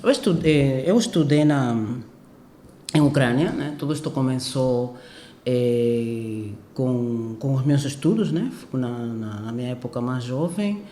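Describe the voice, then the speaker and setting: Older Cuban woman, Spanish native, hesitant and mellow, echoey room. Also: rumbling low, measured pace, slight background noise. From Cuba. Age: 60s. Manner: hesitant, many fillers and restarts. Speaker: guest. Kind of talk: podcast interview, recalling her career.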